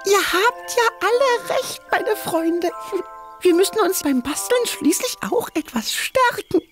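Very high-pitched little boy king. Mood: cheerful. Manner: childlike, expressive, drawn-out exclamations. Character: eager, childlike little boy king, playful and impatient. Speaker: main character of children's cartoon. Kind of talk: children's cartoon acting. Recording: music under the voice.

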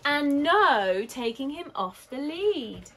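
A woman's voice: a little high, sing-song, unhurried, pitched above the performer's own voice. Woman as a firm, cautious boy dog owner. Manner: emphatic, instructing.

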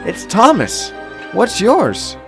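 A man's voice: high voice, huge pitch swings, slow pace, over music, pitched above the performer's own voice, heavily acted. A man voicing a sad, lonely male steam engine in a children's story, speaking in an earnest, wistful way. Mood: surprised, curious.

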